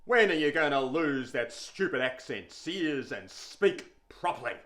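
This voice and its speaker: A man's voice: medium pitch, lively intonation, fairly bright tone. A man voicing a stern, bullying, contemptuous male primary school teacher in a told story, with a commanding manner. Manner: slow, commanding classroom instruction.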